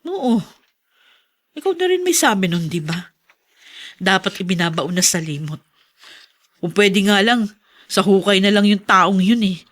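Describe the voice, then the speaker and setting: A woman's voice: medium pitch, animated, unhurried, long pauses, a bit bright. An actress playing a bitter, resigned, ailing mother in a radio drama, world-weary and emphatic. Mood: angry, resentful. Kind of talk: Filipino radio drama acting.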